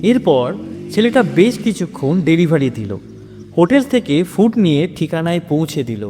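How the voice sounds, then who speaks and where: A man's voice: fairly high pitch, big pitch swings, normal speed, dark tone. A man narrating a tragic story in a dramatic, scripted storytelling style. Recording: noisy recording with long hall reverb.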